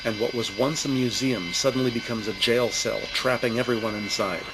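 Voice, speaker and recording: A man reading scripted audio drama narration in a deliberate, descriptive storytelling manner. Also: medium pitch, steady tone, heavy background noise. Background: prominent music.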